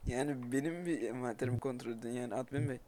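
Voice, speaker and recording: Fast-talking man in chatty game call. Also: medium pitch, a crowd in the background. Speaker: player in online roleplay game. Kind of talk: casual slangy voice chat.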